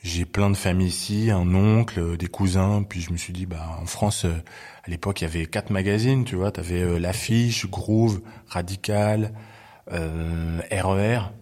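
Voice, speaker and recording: A man in his forties, raised in Belgium, talking as a guest in a relaxed interview, often pausing with fillers as he recalls the past. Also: low voice. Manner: relaxed, lots of hesitations. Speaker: guest, hip-hop journalist. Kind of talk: casual interview chat.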